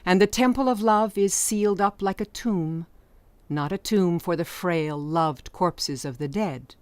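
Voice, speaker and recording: A woman's voice: on the low side, measured pace, darkish tone, dry recording. A woman narrating an audiobook story in a scripted, dramatic storytelling style.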